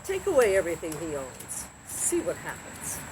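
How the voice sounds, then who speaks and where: A woman's voice: fairly low pitch, moderate pace. Woman reading as commanding God, very noisy recording. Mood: firm. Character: almighty God, male, assured and commanding. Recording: very noisy recording with steady background noise.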